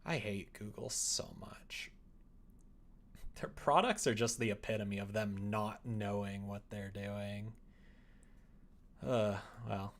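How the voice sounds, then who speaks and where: A man's voice: lowish voice, slow, pauses often. A young man hosting a livestream, chatting casually with a self-deprecating, sardonic, grumbling manner. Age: young adult. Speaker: livestream host. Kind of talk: casual livestream chat while working.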